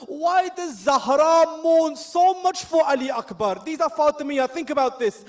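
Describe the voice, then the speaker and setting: A man's voice: extremely high pitch, measured pace. A man reciting a mournful religious sermon, telling the story with emotion that rises to anguished pleading. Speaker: majlis reciter.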